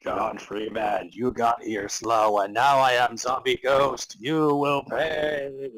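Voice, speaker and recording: Man putting on a husky, menacing zombie ghost. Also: mid-range voice, steady tone, takes it slowly, a bit bright, no echo, poor audio. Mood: slow, menacing. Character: menacing male zombie ghost, undead and threatening. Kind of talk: comedic dramatic reading of fan fiction.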